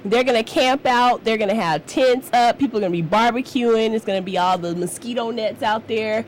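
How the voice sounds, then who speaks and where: A woman's voice: on the high side, brisk, a crowd in the background. A woman hosting a live radio talk show, chatty and upbeat as she promotes events, often laughing. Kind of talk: casual live radio chat.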